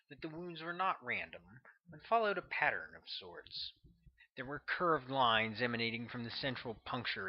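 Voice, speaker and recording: A man's voice: mid-range voice, highs cut off. A man reading his own novel aloud as scripted audiobook narration.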